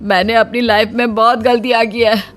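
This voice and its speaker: A woman's voice: a little high, flat delivery, normal speed, close to an everyday voice. A woman voicing an anxious, earnest young man in a story dialogue. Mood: remorseful. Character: nervous, guilt-ridden young man of the family. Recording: music bed under the voice.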